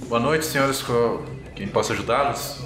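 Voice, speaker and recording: A man's voice: mid-range voice, moderate pace, reverberant, over music, close to an everyday voice. A man voicing a polite, flustered police station officer in a tabletop game, deferential and hesitant.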